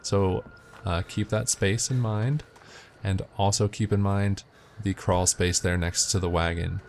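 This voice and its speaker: A man's voice: sits low, steady tone, unhurried, creaky, darkish tone, a little hiss or hum. A man giving matter-of-fact, step-by-step instructional commentary as he narrates a video game walkthrough.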